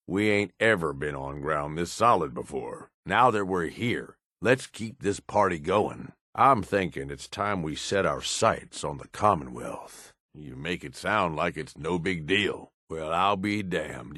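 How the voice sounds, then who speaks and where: An actor voicing a tough, cynical middle-aged American raider in a video game, gruff and folksy in a plain-spoken way. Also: male voice, low voice, lively intonation, unhurried, hardly any pauses, bright, forceful tone, no room sound, studio quality, heavily acted. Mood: measured. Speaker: companion character in a video game. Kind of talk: acted video game dialogue.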